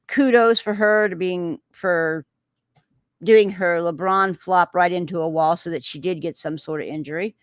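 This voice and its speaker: A Texan woman chats her way through a livestream, pausing often on filler sounds and restarting her sentences. Her voice sits somewhat low and unhurried, recorded close and dry with no room sound. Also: no room sound. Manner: rambling, many "um"s and restarts. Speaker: livestream host reading viewer comments. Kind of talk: casual livestream chat.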